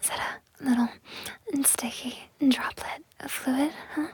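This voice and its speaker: Woman whispering really slow, airy high voice, coaxing and possessive. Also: fairly even intonation, no echo. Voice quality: breathy whisper. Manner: slow, coaxing and possessive, repeating soft reassurances. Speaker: audio roleplay performer. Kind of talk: whispered intimate audio roleplay.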